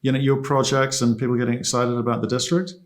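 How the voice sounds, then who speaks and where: A man hosting a business interview, asking questions in a relaxed, conversational way and often repeating words. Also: mid-range voice, quick pace. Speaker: interview host.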